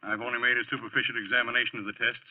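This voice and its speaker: A man's voice: mid-range voice, level tone, natural voice. An actor playing a grave, formal, discreet male doctor in a 1940s-style radio drama. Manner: formal, measured. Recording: muffled old radio broadcast recording.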